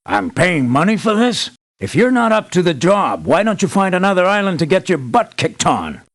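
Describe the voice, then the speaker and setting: An actor playing a blunt, anxious and demanding boss who hires mercenaries in a video game, speaking in an emphatic, articulate, businesslike way. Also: male voice, high voice, wildly swooping pitch, normal speed, fairly bright tone, exaggerated voice. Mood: exasperated. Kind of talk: video game character voice lines.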